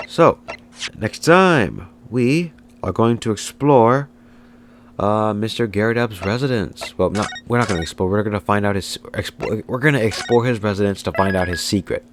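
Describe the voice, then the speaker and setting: A man's voice: lowish voice, noisy background. A congested man with a cold, giving rambling, self-correcting let's play commentary. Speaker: gaming video host. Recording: game music behind.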